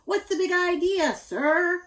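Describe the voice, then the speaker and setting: A woman's voice: very high voice, slow pace, a bit bright, voice pushed up, heavily acted. A woman voicing a firm, scolding giant frontier mother from a storybook, exclaiming and calling out.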